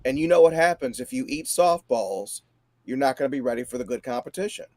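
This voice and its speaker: A man's voice: mid-range voice, expressive, fairly quick, a little mellow, dry recording. A man around forty chatting casually about politics as a show guest. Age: 40s.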